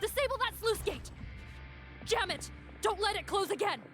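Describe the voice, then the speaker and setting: An actress playing a dutiful, serious guard officer in a video game, formal and earnest in manner. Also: female voice, extremely high pitch, lively intonation, normal speed, fairly bright tone. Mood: urgent. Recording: music behind voice.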